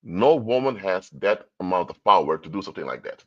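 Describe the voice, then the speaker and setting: A man's voice: low voice, expressive, a little fast, fairly bright tone, highs cut off. A man from Curaçao, giving a livestream talk in a lecturing tone full of rhetorical questions. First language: Papiamento. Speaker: commentator. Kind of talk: livestream commentary.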